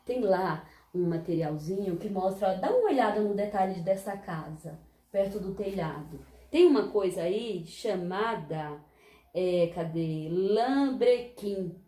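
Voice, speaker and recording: Woman teaching children, mellow voice. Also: medium pitch, reverberant. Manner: patient, explanatory, asks the class rhetorical questions. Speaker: primary school teacher. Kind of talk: recorded history lesson for children.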